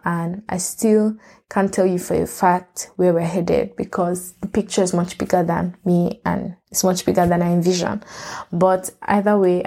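Mellow woman podcasting. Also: lowish voice. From Nigeria. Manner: rambling, self-correcting and candid. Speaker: podcast host. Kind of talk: casual solo podcast monologue.

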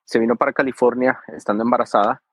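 A man's voice: medium pitch, fairly even intonation, fast, breathy edge, no echo. A man, a podcast guest speaking hesitantly and often tagging his sentences with a question. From Guatemala. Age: 30s. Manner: hesitant, keeps adding "¿verdad?". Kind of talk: casual interview.

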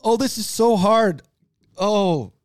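Man, slightly high voice, very lively intonation, emphatic, slangy, rambling, casual podcast talk, dry sound. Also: slow, no echo. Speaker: podcast co-host. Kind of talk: casual sports banter.

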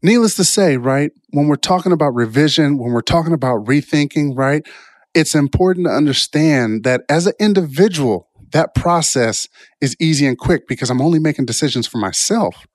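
A man's voice: fairly high pitch, animated, normal speed, no echo. Man giving a reflective talk, dry sound. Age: adult, past college years. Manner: rambling, reflective, often asks "right?". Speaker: motivational speaker. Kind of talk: informal self-help talk with personal stories.

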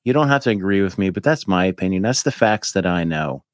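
A man in his fifties with a fairly low voice, talking as a podcast guest. He rambles, jumps between thoughts and fills gaps with "like" and "you know." The sound is dry and close, with little room behind it. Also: quick pace, dry recording. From United States. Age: 50s. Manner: rambling, animated, with many fillers. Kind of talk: casual chat.

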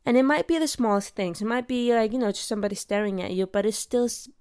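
Earnest young woman, smooth dry voice. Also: fairly high pitch, fast, darkish tone, no echo. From Denmark. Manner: earnest, heartfelt, searching for words. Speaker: podcast host. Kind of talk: personal monologue.

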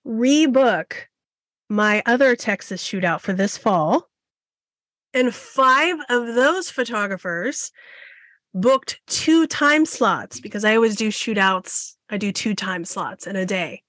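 A woman's voice: a little high, a bit bright, dry recording. A woman in her forties hosting her own podcast as a photography coach, speaking in a bold, emphatic way with a slightly scratchy voice, often repeating phrases for effect. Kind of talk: solo podcast monologue.

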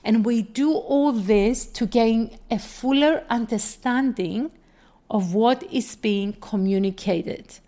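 A woman with a Greek accent, a native Greek speaker, giving an instructional solo podcast talk in a deliberate, explanatory manner. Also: a little high, dark tone. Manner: deliberate, explanatory, poses questions then answers them. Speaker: podcast host and coach.

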